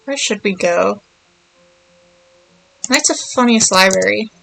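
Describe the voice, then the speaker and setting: A woman's voice: medium pitch, a little slow, creaky, fairly bright tone, faint music behind, poor audio. A woman chatting casually as she streams a game, rambling and thinking aloud. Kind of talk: casual livestream chat while playing.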